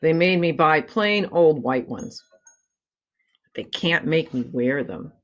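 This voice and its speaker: A woman reads a children's storybook aloud with a very lively, swooping delivery. Her voice sits a little low, and she stretches key words for dramatic effect, like a teacher before a class. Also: slow pace.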